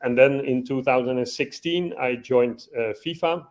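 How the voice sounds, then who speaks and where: A man's voice: mid-range voice, moderate pace. Dutch-accented man on a laptop call. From Netherlands. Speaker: guest. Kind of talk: self-introduction on a video call. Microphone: laptop on a video call.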